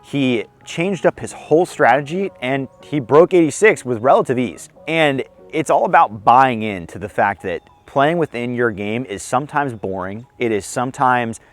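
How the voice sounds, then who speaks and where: Young male vlog host, a touch hoarse, easygoing and self-deprecating, recorded outdoors with light background music. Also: medium pitch, expressive, normal speed, few pauses. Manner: friendly, self-deprecating, explanatory. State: slightly hoarse. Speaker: disc golf video host. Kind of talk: casual tips vlog filmed on the course. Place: outdoors on a foggy disc golf course.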